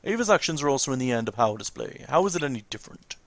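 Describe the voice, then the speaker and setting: A man's voice: medium pitch, very animated, rapid-fire, vocal fry, fairly bright tone, no room sound. A man voicing a hostile, suspicious young warrior who speaks sternly in a video game story.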